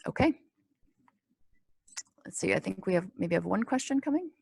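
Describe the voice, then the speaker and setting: A woman presenting a training webinar in an informal, explanatory way, with a halting, pause-filled delivery. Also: mid-range voice, lively intonation, creaky, darkish tone, husky, dry recording. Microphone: conference call or webinar microphone.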